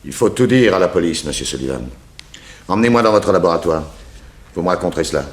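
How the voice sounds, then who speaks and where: Deep-voiced detective, formal, insistent questioning, suspicious, 1960s radio crime drama. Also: male voice, lively intonation, fast talker. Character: probing, suspicious male detective questioning witnesses. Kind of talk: 1960s French radio detective serial acting.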